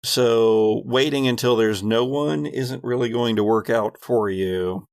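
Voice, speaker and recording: A man's voice: mid-range voice, a little slow, darkish tone, no room sound, clean studio sound. A man narrating and refereeing a tabletop role-playing game as game master, explaining things conversationally.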